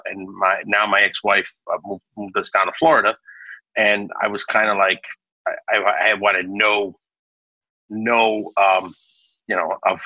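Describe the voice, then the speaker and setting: A man's voice: low voice, pushed and bright, dry recording, highs cut off. A man in his forties from Naples, Italy, a restaurant owner telling his story in a casual interview, chatty with many restarts and fillers. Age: 40s.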